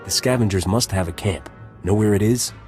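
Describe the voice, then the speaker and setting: An actor playing a cocky, roguish smuggler captain in a video game, with a wry, glib delivery. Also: male voice, lowish voice, fairly quick, a little mellow, soft background music, close to an everyday voice.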